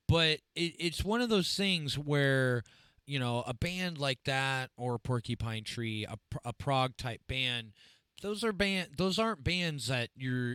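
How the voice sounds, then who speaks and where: A man's voice: medium pitch, sharp tone, smooth, no echo. A man hosting a casual podcast, chatty and full of fillers, reading chat comments aloud. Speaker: podcast host.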